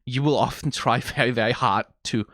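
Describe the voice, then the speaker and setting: A man's voice: mid-range voice, fairly quick, fairly bright tone, dry recording, studio quality. A young man with a Danish accent, talking casually and wryly to the camera as a reaction YouTuber, playful and swearing for emphasis. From Denmark (Funen). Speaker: YouTuber reacting to a game trailer. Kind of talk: casual commentary.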